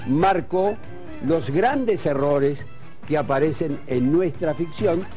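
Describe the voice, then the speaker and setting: A man's voice: on the high side, lively intonation, takes it slowly, warm tone, soft background music. A man with an Argentine accent hosting a television talk show, asking questions and leading into breaks. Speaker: TV show host.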